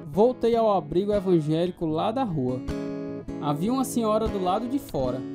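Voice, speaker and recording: A man's voice: high voice, animated, normal speed. Troubled restless man telling his life story, brazilian accent, radio play, guitar music behind him. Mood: somber, confessional. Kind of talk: radio drama narration and dialogue.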